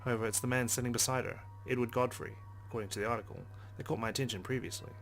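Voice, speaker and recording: A man's voice: medium pitch, steady tone, rapid-fire. A man reading a visual novel aloud as a let's play host, narrating expressively with casual asides. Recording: game music behind the voice.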